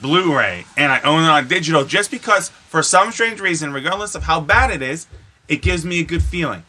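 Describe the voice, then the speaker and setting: A man co-hosting a podcast in casual chat, telling rambling, profanity-laced stories in an animated way. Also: fairly high pitch, brisk. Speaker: podcast co-host.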